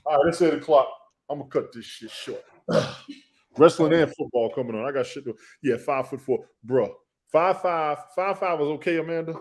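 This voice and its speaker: A man's voice: on the high side, fairly quick. A man co-hosting a comedy podcast, talking in a laid-back, slangy way in casual banter. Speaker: podcast co-host.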